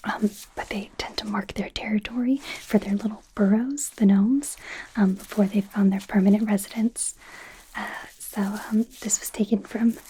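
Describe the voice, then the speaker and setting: A woman voicing a young werewolf medical student in an ASMR roleplay, in a soft, breathy whisper with a hesitant, rambling, self-conscious manner. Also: medium pitch, fairly even intonation, normal speed, rough recording. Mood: eager, fascinated. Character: young female werewolf medical student, awkward, eager, kind.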